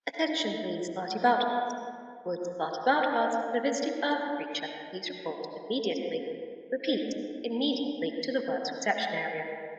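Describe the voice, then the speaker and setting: Female tannoy announcer , acted by a woman, officious, highish voice, drenched in long echo, sci-fi radio play. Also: animated, normal speed. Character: official female public-address announcer summoning visitors. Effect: echoing public-address system. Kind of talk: tannoy announcement in amateur audio drama. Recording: echoey, distant, muffled tannoy sound.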